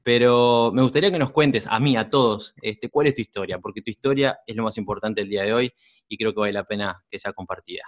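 A man's voice: fairly low pitch, fast talker. A man hosting an interview, asking long winding questions that he restarts and reworks as he goes. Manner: rambling, restarts questions, voseo. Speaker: host.

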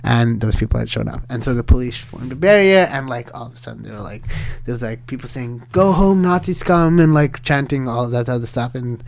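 A man's voice: medium pitch, a little fast, creaky, few pauses. Sri Lankan-born man telling a story. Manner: animated, rambling with many fillers and restarts. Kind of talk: casual storytelling.

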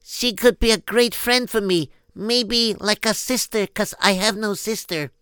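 A man's voice: high voice, measured pace, fairly bright tone, smooth, no room sound, voice pushed up. A man voicing a six-year-old princess who eagerly calls out to her father. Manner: eager, pleading. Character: excited little girl princess, about six.

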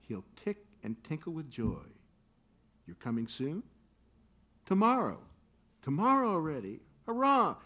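A man voices a high-strung, doting wife and mother with a fairly high voice that leaps up and down. She pleads and frets in a staged play reading. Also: huge pitch swings, unhurried, pauses often, pushed and bright, exaggerated voice. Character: fretful doting wife and mother, adult woman.

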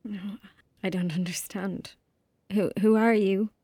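A woman's voice: medium pitch, steady tone, slow, dark tone, breathy edge, no echo, studio quality. A woman playing a lonely, anxious young Irish girl in an audio drama, speaking hesitantly. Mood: confused, distressed.

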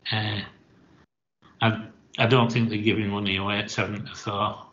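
A man's voice: on the low side, flat delivery, moderate pace, fairly bright tone, dull audio. A man on a racing panel, giving short, blunt answers. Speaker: panel tipster. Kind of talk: casual panel chat.

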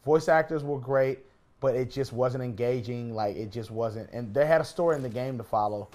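Man, medium pitch, blunt opinions, repetitive emphasis, informal gaming podcast talk. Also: normal speed, a little mellow. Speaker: podcast guest or panelist. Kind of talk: casual chat while playing a video game.

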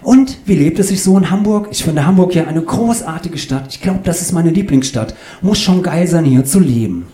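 Man putting on an eager chatterbox, pitched up. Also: expressive, normal speed, few pauses, mellow, roomy sound, close to an everyday voice. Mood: gushing. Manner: eager, prying, questioning. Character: chatty, oblivious young man eager for small talk. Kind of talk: comic short-story reading.

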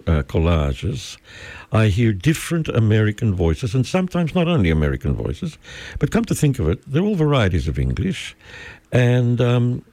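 An older man from Mexico with a Mexican accent, speaking English as a second language, talking thoughtfully in an interview and often pausing to search for words. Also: medium pitch, dark tone, dry recording. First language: Spanish. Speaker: guest. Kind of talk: conversational interview.

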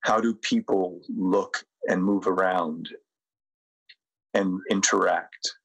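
A middle-aged American man speaking reflectively as a podcast guest, measured and deliberate, with pauses. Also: low-pitched, fairly even intonation, gravelly, a bit bright, slightly rough, low-quality recording. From United States. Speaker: podcast guest, sustainability consultant. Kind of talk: reflective interview talk and guided presentation.

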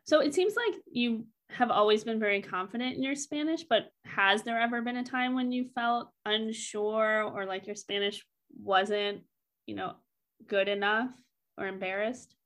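A woman's voice: a little high, normal speed, a bit bright. A woman interviewing a guest in a conversational way, reacting with enthusiastic, affirming remarks.

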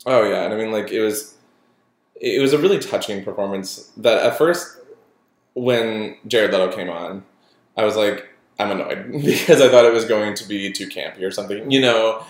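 Low-ish voiced guy ranting about movies, lots of likes and ums, echoey room. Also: moderate pace. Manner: opinionated, animated, frequent fillers. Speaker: podcast co-host. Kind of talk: casual film chat.

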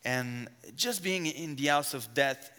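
French-accented preacher, smooth voice, church reverb. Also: male voice, medium pitch, slow pace, sharp tone. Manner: earnest, fervent prayer with rising emphasis. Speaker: guest preacher. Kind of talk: sermon with opening prayer. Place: church sanctuary. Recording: long hall reverb of a church.

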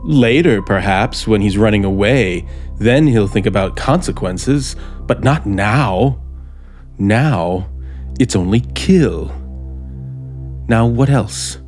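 Slow, menacing radio-drama gunman. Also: fairly low pitch, lively intonation, a little mellow. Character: embittered, desperate male radio scriptwriter holding a gun. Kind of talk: 1950s radio suspense acting. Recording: 1950s radio broadcast, music behind.

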